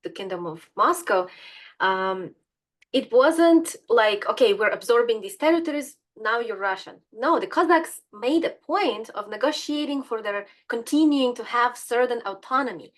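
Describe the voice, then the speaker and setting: Woman guest, animated. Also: a little high, moderate pace. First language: Ukrainian. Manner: animated, searching for words. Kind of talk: casual interview talk.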